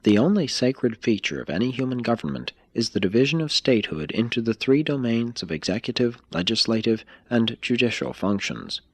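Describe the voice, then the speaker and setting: A man reading a scripted spiritual text like an audiobook, in a measured, emphatic manner. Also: lowish voice, husky, dry recording.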